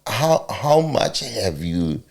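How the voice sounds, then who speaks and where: Male, Zimbabwean accent, Shona speaker, talk show host, gravelly, lively pitch, informal interview chat. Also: takes it slowly.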